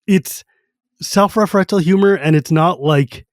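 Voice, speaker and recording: A man's voice: high voice, a little slow, no room sound, studio quality. A man in his thirties from California hosting a podcast, chatting casually in a rambling way with lots of filler words and easy laughter. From San Jose, California. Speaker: podcast host. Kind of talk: casual chat.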